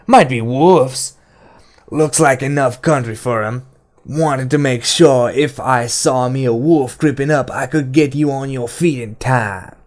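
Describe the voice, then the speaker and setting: A man voicing a mean, defiant woman in an audiobook, with a hoarse edge and heavy dialect. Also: a little slow, lightly acted. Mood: mocking. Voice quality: hoarse, rasping. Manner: shrill, heavy dialect, mocking.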